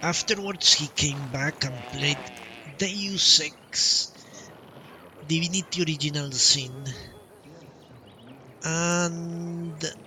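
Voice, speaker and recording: A man streaming a video game, telling a long story casually in a halting, drawn-out way. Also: a little high, steady tone, sharp tone, a little hiss or hum. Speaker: game livestreamer. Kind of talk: casual rambling storytelling while playing a game. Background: video game music.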